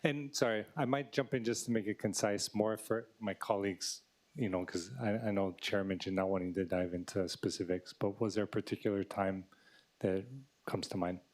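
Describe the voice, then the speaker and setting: A man, a councilmember, questioning officials at a meeting, speaking in a low monotone. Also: moderate pace.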